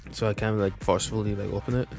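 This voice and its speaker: Male streamer, slightly low voice, casual gameplay chat, rambling, informal, faint music behind. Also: fairly even intonation, rapid-fire, creaky. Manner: informal, rambling, mild swearing. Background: game music.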